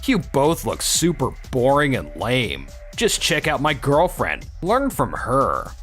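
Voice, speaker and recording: A man's voice: medium pitch, wildly swooping pitch, slow pace, a bit bright, faint music behind, heavily acted. A man playing an arrogant, boastful young office boss in a manga dub, with a smug, dramatic, sneering manner. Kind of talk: animated manga dub dialogue.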